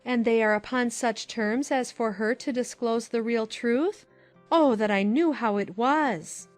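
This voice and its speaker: A woman's voice: a little high, unhurried, no echo, faint music behind, lightly acted. A woman playing a concerned, genteel middle-aged aunt in a dramatized period novel, emphatic and exclamatory. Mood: urgent, curious.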